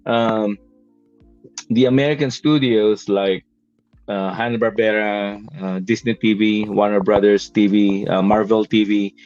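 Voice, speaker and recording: A man's voice: fairly low pitch, steady tone, unhurried, a little mellow, no echo, faint music behind. A Filipino-accented man in his fifties, an animation artist answering interview questions hesitantly, with many fillers and restarts. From Philippines. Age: 50s. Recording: dull lo-fi dry sound.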